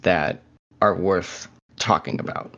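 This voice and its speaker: Low-voiced young man, slightly dull audio. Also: steady tone, takes it slowly, sharp tone. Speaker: podcast co-host. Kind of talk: casual podcast chat.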